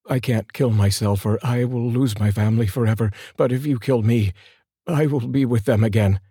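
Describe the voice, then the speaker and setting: A man's voice: medium pitch, moderate pace, mellow, husky, dry recording, clean studio sound, pitched above the performer's own voice. A man voicing a despairing, guilt-ridden drunk who speaks in resignation.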